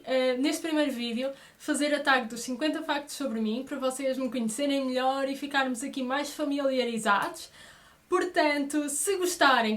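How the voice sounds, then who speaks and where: High-pitched young Portuguese woman vlogger. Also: fairly bright tone. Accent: European Portuguese. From Brazil, moved to Portugal aged six. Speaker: vlogger introducing her channel. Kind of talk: casual chat to viewers.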